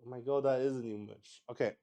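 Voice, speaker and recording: A man's voice: mid-range voice, steady tone, quick pace, warm tone. A man hosting a casual livestream, thinking aloud and rambling in a hesitant way. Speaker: streamer hosting a solo tabletop roleplay stream. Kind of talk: casual improvised livestream chat.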